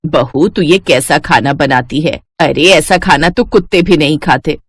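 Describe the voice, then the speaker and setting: A woman's voice: low-pitched, unhurried, husky, dry recording, pitched below the performer's own voice. A woman voicing a bossy, blunt middle-aged mother-in-law in a cartoon story. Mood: scornful. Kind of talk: Hindi cartoon story dialogue.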